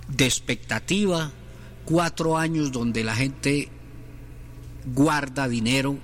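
A man's voice: medium pitch, a bit bright, slight background noise. A middle-aged man, hosting a sports radio show in a rambling, joking, chatty way and reading out sponsors. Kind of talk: casual radio talk with sponsor reads.

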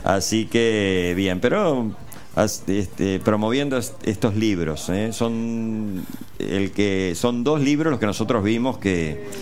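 A man hosting an unscripted radio interview in a halting, rephrasing way. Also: fairly low pitch, animated, a little mellow. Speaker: radio host.

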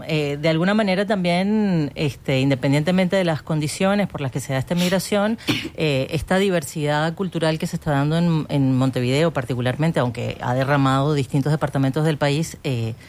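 A woman's voice: sits low, normal speed, darkish tone, slight background noise. A woman in her fifties, from Uruguay, speaking with a Venezuelan accent as a guest on a radio interview, hesitant and full of fillers. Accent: Venezuelan Spanish. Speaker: librarian guest.

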